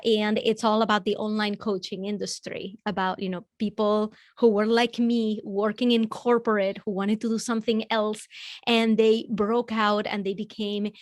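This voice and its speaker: A woman in her forties from Venezuela, a native Spanish speaker with a Venezuelan accent, talking as a podcast guest in an energetic, motivational, straight-talking way. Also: medium pitch, moderate pace, no echo, studio quality. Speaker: guest business coach. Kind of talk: podcast interview conversation.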